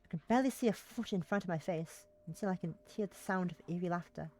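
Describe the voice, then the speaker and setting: Woman streamer w a lowish voice, chatting and reading game text out loud, stumbles on words a bit. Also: fast talker. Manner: stumbles over words and rereads them while reading aloud. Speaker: live streamer playing a game. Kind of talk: casual stream chat and reading visual novel text aloud.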